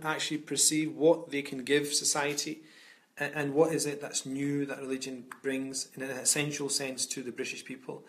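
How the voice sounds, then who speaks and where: A man's voice: medium pitch, a bit bright. A man, an Islamic scholar speaking earnestly from home over a video call, often repeating words as he restarts. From Scotland. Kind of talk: reflective talk on a video call. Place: home room indoors. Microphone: webcam on a video call.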